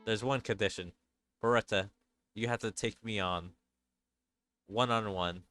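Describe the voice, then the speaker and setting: A man's voice: fairly low pitch, measured pace, music in the background, lightly acted. A man voicing a polite, composed female demon servant in an anime game. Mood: formal.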